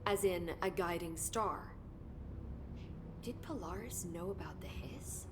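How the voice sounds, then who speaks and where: An actress playing a curious, analytical government researcher in a video game, inquisitive and articulate. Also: female voice, on the low side, slow, noisy background. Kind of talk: video game cutscene acting.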